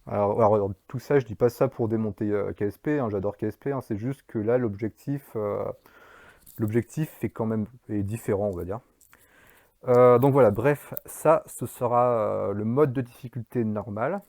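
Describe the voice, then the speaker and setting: Chatty man with a low mellow voice. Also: moderate pace, dry recording. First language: French. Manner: chatty, many fillers and restarts. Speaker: indie game developer. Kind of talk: casual devlog narration.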